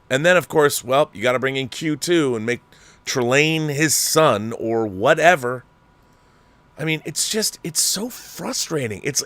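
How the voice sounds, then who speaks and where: A man hosting his own commentary show, ranting in a heated and sarcastic way. His voice sits at an ordinary middle pitch and is recorded close and dry, with no room sound. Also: a bit bright, no room sound.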